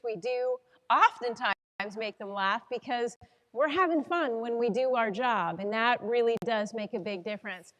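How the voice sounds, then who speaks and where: A woman's voice: a little high, a bit bright. A woman hosting a live fundraising event, addressing the audience over a PA in an echoey hall. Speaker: event host, chiropractor.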